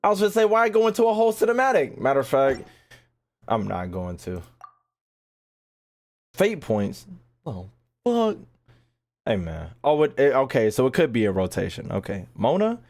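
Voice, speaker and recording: A man's voice: medium pitch, very animated, normal speed, pauses often, a little mellow. A man chatting casually to his livestream audience while gaming, slangy and profane, with mock exasperation. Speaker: video game streamer talking to chat.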